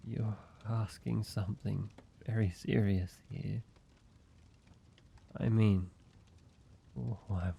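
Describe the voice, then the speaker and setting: A man voicing a weary, guarded ancient vampire who is grieving yet tender, speaking haltingly in an intimate roleplay audio drama with a gravelly voice. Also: mellow, slight background noise. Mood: shocked, reluctant. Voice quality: low, gravelly. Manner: slow, halting, hesitant delivery with pauses.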